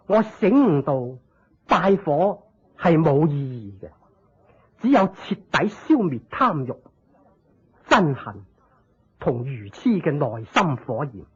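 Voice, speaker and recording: An actor with a Hong Kong Cantonese accent playing an earnest, reverent elderly religious master turned disciple in a Buddhist radio drama, speaking in a solemn, deliberate, declamatory way. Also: male voice, on the high side, big pitch swings, slightly rough, heavily acted. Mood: fervent, reverent. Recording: dull lo-fi radio drama recording.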